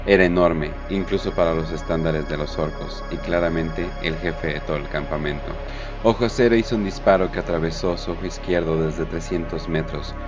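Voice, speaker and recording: A man's voice: deep voice, highs cut off. A man narrating a scripted war story in a dramatic audio drama style. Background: prominent background music.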